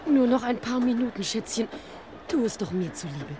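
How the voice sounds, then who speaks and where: A woman's voice: fairly high pitch, animated, normal speed, close to an everyday voice. An actress playing an anxious mother who nervously coaxes and soothes her small daughter in a radio crime drama. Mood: coaxing. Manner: coaxing, nervous. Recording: clear outdoor noise behind.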